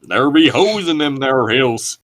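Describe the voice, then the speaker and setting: A man's voice: medium pitch, lively intonation, unhurried, fairly bright tone. A man reading aloud for comedy and adding wry, mocking asides in casual banter. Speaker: co-host reading and commenting.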